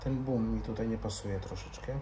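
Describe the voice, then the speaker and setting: A man's voice: on the low side, normal speed, mellow. A man, talking casually and informally through a hands-on tutorial, often muttering to himself as he works. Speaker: motorcycle vlogger. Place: indoor garage-bar lounge room. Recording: roomy echo, background noise.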